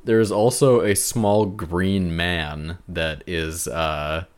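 A man's voice: low voice, fairly even intonation, takes it slowly, a little mellow, clean tone. A man co-hosting a podcast in casual banter about video games. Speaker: podcast co-host.

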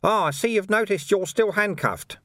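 A man's voice: sits high, moderate pace, fairly bright tone, no room sound, clean studio sound, pitched above the performer's own voice. A man voicing a cheery, wily, skinny old bald monk in an audiobook, with a friendly, teasing assurance. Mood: amused, friendly.

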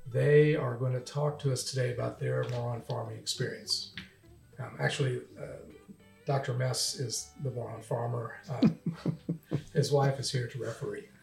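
A man hosting a casual podcast, reading a scripted intro and then asking relaxed questions with fillers. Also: medium pitch, creaky. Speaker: podcast host.

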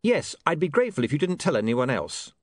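A man voicing a prim, earnest young newspaperman who speaks in a crisp, proper way. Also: a little high, very animated, fast talker, dry recording, clean studio sound, voice pushed up.

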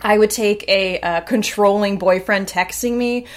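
A woman's voice: mid-range voice, unhurried. A woman in her thirties from Long Island, co-hosting a casual podcast chat, blunt and sarcastic with free swearing. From Long Island, New York. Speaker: podcast co-host.

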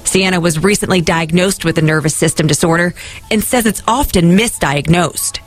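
A woman's voice: fairly low pitch, moderate pace, soft background music. A woman reporting for TV news in scripted, polished feature narration.

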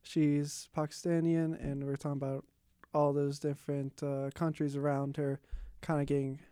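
Young man host, fairly high voice, smooth and clear, dry close sound, chatty. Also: dry recording. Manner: chatty, quick to laugh, bursts of excitement. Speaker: podcast host. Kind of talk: casual conversation.

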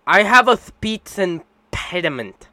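A young man chatting casually on a podcast while high, rambling and teasing his friends. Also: sing-song, slow pace, sharp tone, dry recording. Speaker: podcast co-host. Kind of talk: casual chat.

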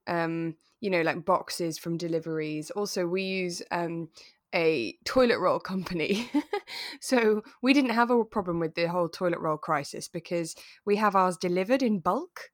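Low-pitched British woman chatting casually. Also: moderate pace, no echo, clean studio sound. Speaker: podcast co-host. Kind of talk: relaxed casual chat between friends. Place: remote internet recording, dry room.

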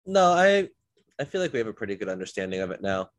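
Congested low-voiced man rambling casually. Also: sing-song, quick pace, no room sound. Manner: rambling, self-deprecating storyteller. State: congested, recovering from illness. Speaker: podcast host. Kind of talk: casual podcast chat.

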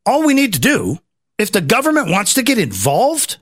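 Male talk host, highish voice with lively swings, loose rambling political chat, close dry sound. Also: moderate pace, breathy edge, dry recording. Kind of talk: casual political commentary chat.

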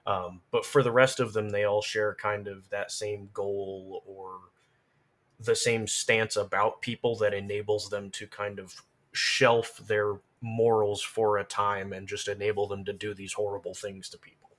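A man's voice: on the low side, flat delivery, unhurried, a bit bright. A man chatting casually, explaining his thoughts in a reflective, informal way. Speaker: podcast co-discussant on game lore. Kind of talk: casual chat.

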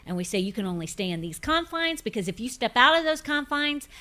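A woman's voice: a little high, very animated, fairly quick, bright, forceful tone. A woman in her fifties, a pastor hosting a casual faith podcast, talking in a forthright, emphatic, preachy way. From grew up in Pentecostal churches. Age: 50s.

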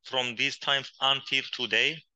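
A man from Turkey's far east, with as his in English, answering interview questions in a halting way with many pauses and fillers. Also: medium pitch, steady tone, pushed and bright, no echo, muffled recording. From Hakkari region of Turkey, Kurdish. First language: Kurdish. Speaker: guest, poet and journalist. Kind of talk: interview conversation.

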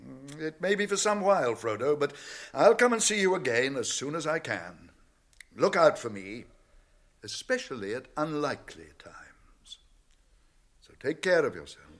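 A grave old British wizard in a radio play. His delivery is measured and secretive, full of cautionary weight, with a widely swinging pitch that keeps each phrase expressive. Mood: urgent, secretive. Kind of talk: British radio drama acting.

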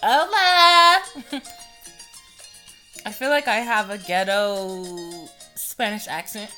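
A woman's voice: mid-range voice, takes it slowly, bright, forceful tone, soft background music. A young woman hosting her own podcast, chatting loosely and profanely and laughing often. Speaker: podcast host. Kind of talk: casual solo podcast monologue.

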